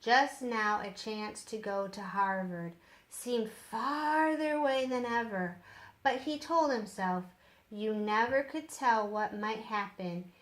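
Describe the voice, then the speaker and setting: A woman reading a children's book aloud as a storyteller, in a deliberate way. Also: on the high side, reverberant.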